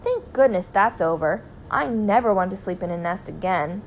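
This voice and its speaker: A fussing, loving mother bird from a storybook, acted by a woman reader. Her speech is exclamatory and very animated, lifting into higher notes. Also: moderate pace, a little hiss or hum, lightly acted. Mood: excitable. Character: fretful, loving mother turned small bird.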